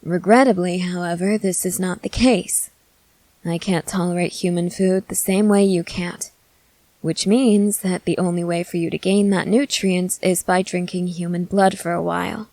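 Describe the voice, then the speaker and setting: A woman voicing a protective, controlling century-old female vampire in measured, formal phrases for an ASMR roleplay. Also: lowish voice, fairly even intonation, a little mellow, no room sound, lightly acted. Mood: matter-of-fact. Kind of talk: scripted ASMR audio roleplay.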